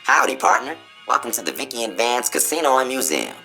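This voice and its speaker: A man's voice: mid-range voice, very animated, brisk, gravelly, sharp tone, faint music behind, heavily acted, rough recording. A man playing a folksy, boastful cowboy robot spokesbot in a video game, with a showy American cowboy drawl. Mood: showy, welcoming. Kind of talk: theatrical Old West tall-tale patter.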